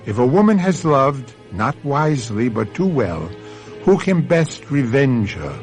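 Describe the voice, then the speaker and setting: A man hosting a radio mystery drama, narrating in a dramatic, portentous, deliberate manner. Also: mid-range voice, sing-song, soft background music. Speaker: host and narrator.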